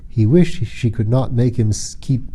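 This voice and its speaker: Male narrator, very dark mellow tone, medium pitch, expressive storybook reading, measured literary delivery. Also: husky. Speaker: audiobook reader.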